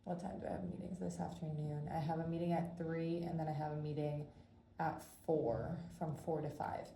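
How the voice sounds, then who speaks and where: A young woman in her twenties chats through her workday in a rambling vlog, her voice low and mellow and slightly congested from a cold. She fills her sentences with likes and ums. The sound bounces around an echoey room with a faint hum behind it. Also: flat delivery, normal speed, creaky. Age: 20s. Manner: chatty, rambling with frequent "like" fillers. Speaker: vlogger. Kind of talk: casual vlog chat. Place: home and office.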